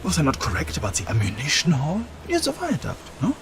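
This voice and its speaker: Sardonic German officer, wildly animated intonation. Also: male voice, a little high, quick pace, soft background music. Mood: cagey, scheming. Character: wry, sardonic, self-serving male German army officer turncoat. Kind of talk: video game cutscene acting.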